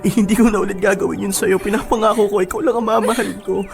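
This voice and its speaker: A remorseful fiance in a radio drama, begging earnestly to be forgiven. His voice is creaky and gravelly, with loud music behind him. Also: high-pitched, steady tone, quick pace. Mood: pleading, tearful. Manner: pleading, earnest. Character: remorseful cheating fiance, pleading desperately.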